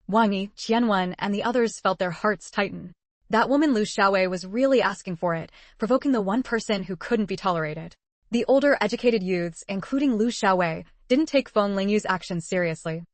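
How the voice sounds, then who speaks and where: A woman narrating a translated web novel in an even, measured storytelling voice. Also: mid-range voice, no room sound. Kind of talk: scripted audiobook narration.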